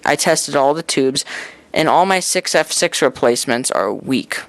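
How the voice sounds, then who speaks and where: A man's voice: on the high side, animated, a little slow, fairly bright tone. A man talking casually while he restores an old radio, thinking aloud and making chatty asides as he works. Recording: noisy workshop recording.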